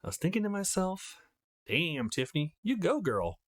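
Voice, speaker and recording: A feisty young woman from a visual novel, read by a man, cheeky, gossipy and blunt. The delivery swings widely in pitch, with teasing and swagger. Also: very animated, normal speed. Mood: gleeful storytelling.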